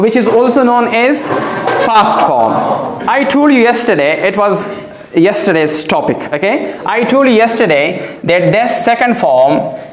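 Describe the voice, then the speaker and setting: High-voiced man teaching in an echoey classroom. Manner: didactic, repeats key phrases, checks with "okay?". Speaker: English teacher. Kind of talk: classroom grammar lesson, explaining and questioning pupils. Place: indoor classroom with pupils.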